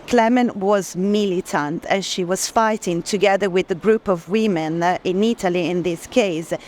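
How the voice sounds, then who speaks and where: A woman giving an explanatory gallery presentation at an art fair, pausing to search for words as she goes. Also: lowish voice, darkish tone. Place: busy art fair hall. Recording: clear ambient noise from a busy venue.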